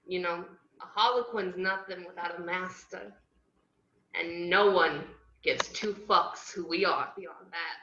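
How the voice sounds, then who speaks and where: A woman's voice: lowish voice, sharp tone. A woman playing a drunk, heartbroken, brash young clown villain in an amateur script read, loose and erratic over a video call. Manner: loose, erratic, swinging from mumbles to whoops. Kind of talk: amateur screenplay table read. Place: home room on a group video call. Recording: roomy, dull video-call audio.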